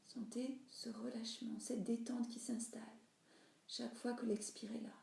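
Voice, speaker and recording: A woman guides a relaxation practice in a roomy, echoing recording. Her voice sits a little high yet stays mellow. She instructs soothingly, letting phrase endings soften into breath. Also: flat delivery, moderate pace, creaky. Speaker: yoga nidra teacher.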